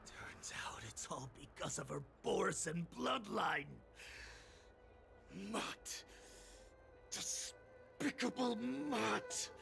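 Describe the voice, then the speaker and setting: Bitter, very creaky, hushed voice. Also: male voice, sits high, big pitch swings, noisy background, heavily acted. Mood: bitter contempt. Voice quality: creaky, breathy, half-whispered. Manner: slow, halting, emphatic. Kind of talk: video game drama acting. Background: loud music.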